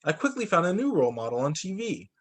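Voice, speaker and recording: Animated man reading a storybook aloud. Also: fairly high pitch, fast talker. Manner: animated, acts out dialogue for children. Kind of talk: expressive children's read-aloud narration.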